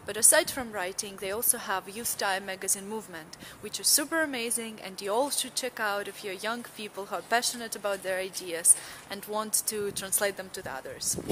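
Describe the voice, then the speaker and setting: A young woman from Russia, a journalist, talking to a phone at arm's length in a casual travel vlog, bubbly and quick to laugh. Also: medium pitch, noisy background. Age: young adult. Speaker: vlogger and journalist. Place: outdoors at a city forum venue. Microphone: phone held at arm's length.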